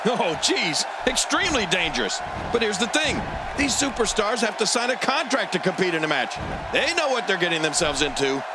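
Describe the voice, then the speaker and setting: A man's voice: high-pitched, sing-song, normal speed. An actor playing a hyped, exclamatory male wrestling commentator in a video game. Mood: excited. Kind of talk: excited sports commentary. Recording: loud arena crowd and noise.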